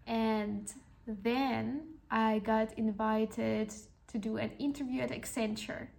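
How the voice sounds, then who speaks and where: Young woman podcaster, kinda even tone with lots of fry, earnest pep-talk storytelling. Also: fairly high pitch, slow. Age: young adult. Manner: earnest, reflective, motivational. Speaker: podcast host. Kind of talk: personal storytelling monologue.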